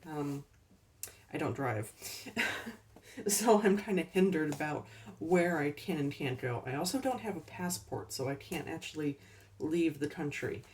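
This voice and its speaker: Woman, slow easy talk, soft mellow tone, a little hoarse, set back from the mic. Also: deep voice, expressive, recorded from a distance. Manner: chatty, unhurried, trailing off with ums and laughs. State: slightly losing her voice. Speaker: collecting channel host. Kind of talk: casual thrift haul chat.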